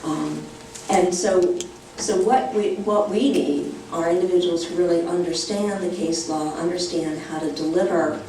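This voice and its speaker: Low-voiced woman speaking deliberately. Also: steady tone, mellow, slight background noise. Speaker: panelist, former official. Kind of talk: conference panel talk. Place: university conference room. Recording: echoey hall, distant mic.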